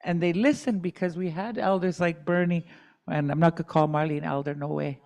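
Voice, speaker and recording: A woman's voice: deep voice, fairly even intonation, fairly quick, darkish tone. A middle-aged woman advocate giving candid personal testimony at a community dialogue, with joking asides.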